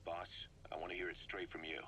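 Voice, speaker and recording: An actor playing an analytical, blunt second-in-command in a military video game, explaining things in a measured, matter-of-fact way. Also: male voice, sits low, flat delivery, pushed and bright. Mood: confrontational, exasperated. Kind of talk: video game voice acting, recorded briefing tapes. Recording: faint noise, cassette tape briefing.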